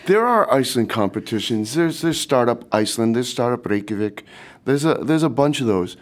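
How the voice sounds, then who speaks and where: A man's voice: medium pitch, animated, fairly quick. A man from Boston with a light Boston accent, answering interview questions in a rambling way, with many fillers and restarts. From Boston, USA. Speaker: startup team member. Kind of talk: casual interview talk.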